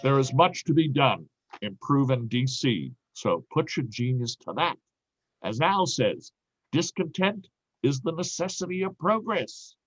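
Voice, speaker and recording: A man's voice: mid-range voice, big pitch swings, unhurried, a bit bright, no room sound. A man playing a pushy, jovial sidekick in a play reading, urging loyalty to the boss in colloquial, exhorting phrases. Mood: coaxing. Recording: dull, dry video-call audio.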